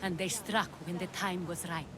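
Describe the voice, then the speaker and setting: A woman playing a composed, sorrowful ally who gives guidance in a video game, speaking in a measured way. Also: on the low side, steady tone, lightly acted. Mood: regretful. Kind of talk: video game cutscene acting. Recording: clear ambient noise behind.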